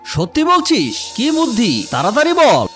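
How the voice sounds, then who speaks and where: A man's voice: very high voice, wildly swooping pitch, normal speed, fairly bright tone, soft background music, full character voice. A man voicing a bullying, greedy, lazy male crow in a children's cartoon, blustering and taunting. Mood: gleeful, scheming.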